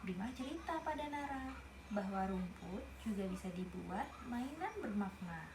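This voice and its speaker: A woman's voice: mid-range voice, lively intonation, moderate pace. A woman reading a picture book aloud, stopping to explain the story to a young listener in a chatty way. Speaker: storybook reader. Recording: roomy with noticeable background noise.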